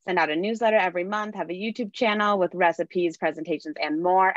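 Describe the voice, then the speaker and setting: A woman's voice: on the low side, dry recording. A young woman, a nonprofit's outreach manager, reading a scripted event introduction on a video call. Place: indoor room, video call. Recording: dull lo-fi video call audio.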